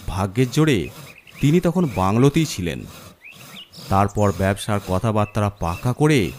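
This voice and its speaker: A man's voice: on the low side, darkish tone, a little hiss or hum. A man narrating a scripted horror story in a dramatic storytelling style.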